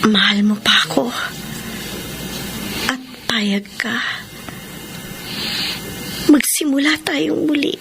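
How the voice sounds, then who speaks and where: Slow, remorseful, tender mother. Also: on the high side, creaky, background noise. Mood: tender confession. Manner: slow, halting, hushed and emotional delivery. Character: remorseful, tender mother seeking forgiveness from her family. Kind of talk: Filipino radio drama acting.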